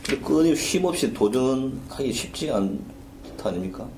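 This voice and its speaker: A man's voice: medium pitch, lively intonation, unhurried, mellow, echoey room, a little hiss or hum. A man interviewing for an oral history project, asking formal, polite questions and often giving short backchannel replies.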